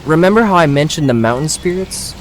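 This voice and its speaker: A man's voice: a little high, animated, a little fast, slight background noise, natural voice, poor audio. An actor playing a teasing young local man who shows visitors around in an audio drama, playful and showman-like. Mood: earnest, playful.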